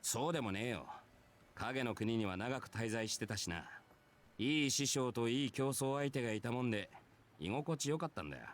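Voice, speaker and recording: Man voicing a brash, fast-talking warrior. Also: mid-range voice, lively intonation, lightly acted. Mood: casual, boastful. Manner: rough, casual, nonchalant masculine speech. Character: brash, easygoing male warrior telling his own past. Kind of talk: Japanese visual novel voice acting.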